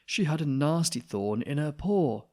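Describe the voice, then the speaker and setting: A man's voice: on the high side, expressive, a little slow, dark tone, pitched above the performer's own voice. Man playing a flustered, exclaiming storybook vet. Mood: reassuring.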